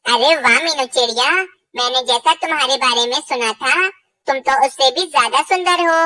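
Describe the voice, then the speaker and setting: A woman voicing an eager, flattering male bird suitor in a children's cartoon. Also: high-pitched, normal speed, far from the mic. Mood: flattering, assured. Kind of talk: Hindi children's cartoon dialogue.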